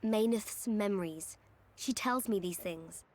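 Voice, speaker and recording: An actress playing a calm, thoughtful young heroine who speaks with quiet certainty in a video game. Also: female voice, medium pitch, unhurried, natural voice. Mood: pensive.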